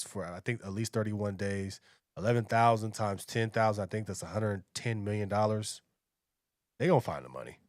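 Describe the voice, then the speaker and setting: American male reaction host: low pitch, dry close sound, colloquial and opinionated. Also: dry recording. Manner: colloquial, slangy, conversational delivery. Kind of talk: casual opinionated news commentary.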